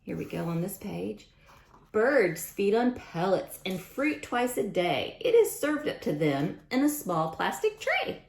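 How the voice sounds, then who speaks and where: A woman's voice: medium pitch, big pitch swings, measured pace, creaky. A woman reading aloud at storytime for young children in a sing-song manner, often asking questions and repeating lines. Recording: roomy, echoing sound.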